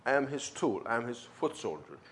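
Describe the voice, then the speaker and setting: A man's voice: medium pitch, very animated, fairly quick. Fervent man with a German-Turkish accent. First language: not English. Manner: emphatic, fervent storytelling with acted-out dialogue. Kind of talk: personal testimony.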